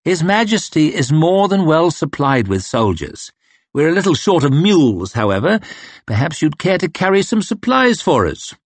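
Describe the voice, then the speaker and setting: A man's voice: a little high, big pitch swings, normal speed, dry recording, voice pushed up. A man voicing a haughty young male officer who sneers and snaps at people. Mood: mocking.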